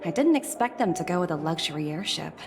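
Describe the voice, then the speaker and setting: A woman's voice: lowish voice, lively intonation, quick pace. An actress playing a composed, businesslike female corporate director in a video game, with music behind her. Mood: mildly impressed. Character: poised female corporate director, coolly observant. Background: background music.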